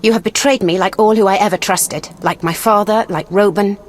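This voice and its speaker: A woman's voice: mid-range voice, brisk, natural voice. Bitter, vengeful young woman played by an actress. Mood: bitter, accusing. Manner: formal, accusing. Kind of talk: video game voice acting.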